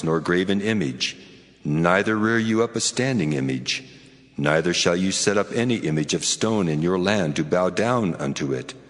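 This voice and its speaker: Male actor as a solemn, commanding deity for audio scripture; low voice, long reverb, faint music. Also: fairly bright tone. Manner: solemn, authoritative, declarative. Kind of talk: dramatized scripture reading.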